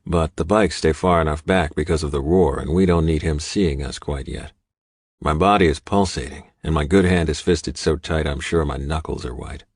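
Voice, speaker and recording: Very deep-voiced man narrating a gritty novel. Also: no echo, studio quality. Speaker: audiobook narrator. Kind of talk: scripted first-person fiction narration.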